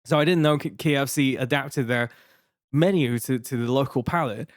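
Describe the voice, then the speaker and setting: A Taiwanese-accented man in his thirties spins a rambling anecdote, full of restarts and little laughs. His voice sits at an ordinary middle pitch. The recording is dry and close, with no room sound. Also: normal speed, no echo. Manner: rambling, many restarts and fillers, laughing while telling. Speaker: podcast co-host. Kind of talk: casual storytelling.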